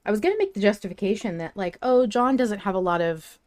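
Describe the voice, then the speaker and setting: A woman's voice: mid-range voice, expressive, fast talker, darkish tone, no echo. A woman hosting a podcast, talking in a casual, conversational way. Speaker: podcast host.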